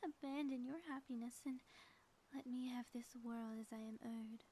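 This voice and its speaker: A woman's voice: on the high side, fairly even intonation, moderate pace, dark tone, slight background noise, lightly acted. A woman playing a theatrical, gloating villainess in a scripted ASMR audio roleplay, with florid, melodramatic delivery. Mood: commanding, menacing. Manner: grandiose, florid, melodramatic phrasing.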